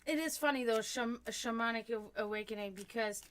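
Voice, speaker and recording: A woman's voice: fairly high pitch, fairly quick. Chatty German-born woman reading tarot. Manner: chatty, animated, laughs often, talks to chat. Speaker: tarot reader streamer. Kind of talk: live-stream tarot reading, chatting with viewers.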